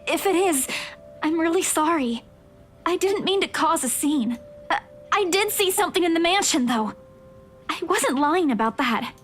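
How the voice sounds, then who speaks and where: A woman's voice: very high voice, animated, moderate pace, fairly bright tone, soft background music, pitched above the performer's own voice. A woman voicing an anxious, caring young woman in a visual novel, with a hesitant, apologetic manner. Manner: hesitant, stammering. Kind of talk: dramatic visual novel character reading.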